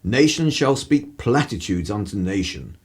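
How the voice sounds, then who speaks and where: An actor playing a cynical, irreverent 1930s English broadcaster in a radio play, with a husky voice and sardonic, theatrical turns of phrase. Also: male voice, lowish voice, animated, a little slow. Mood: mocking, theatrical.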